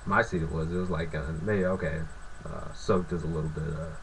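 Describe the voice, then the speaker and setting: Low gravelly young guy, sick and raspy, rambling cussing rant, noisy recording. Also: fairly even intonation, fairly quick. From Fresno, California. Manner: profane, rambling, lots of filler. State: sick with sore throat, raspy. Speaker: sports fan vlogger. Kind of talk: casual ranting vlog.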